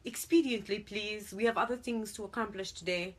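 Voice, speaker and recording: A woman's voice: medium pitch, brisk, husky, natural voice. A woman voicing a stern young brother with a French accent in a story, speaking formally and precisely. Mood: stern, polite. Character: formal, indignant young brother with courtly manners.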